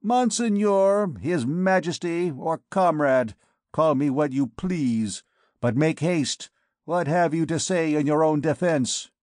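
A man doing a booming, scornful beggar king. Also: slow, a little mellow, dry recording, studio quality. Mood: impatient. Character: menacing mocking male beggar king, grandiose.

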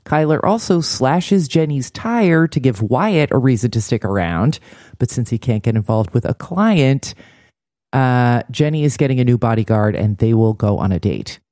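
A woman's voice: a bass voice, lively intonation, normal speed, mellow, no room sound, studio quality. A woman hosting a podcast, recapping a TV episode in a blunt, sardonic, conversational style. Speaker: podcast host.

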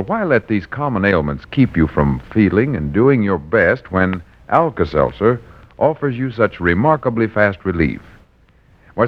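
Male American announcer, vintage radio ads and narration, deep and expressive; dull period sound. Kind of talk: 1940s radio advertising and scripted narration.

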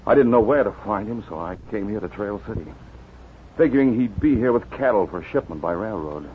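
A man's voice: fairly low pitch, lively intonation, a little fast, natural voice. An actor playing an earnest young doctor bent on avenging his father in a 1950s radio western, intense in delivery. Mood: bitter, resolute. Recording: muffled, hissy 1950s radio recording.

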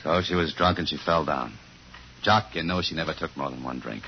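An actor playing a tough, dogged police detective in a 1950s radio thriller, speaking in clipped, insistent lines. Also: male voice, very deep voice, a little fast, slight background noise. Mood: defiant. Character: tough, dogged male police detective defending his wife. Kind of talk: 1950s radio thriller acting, hard-boiled narration. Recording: muffled vintage radio recording with music.

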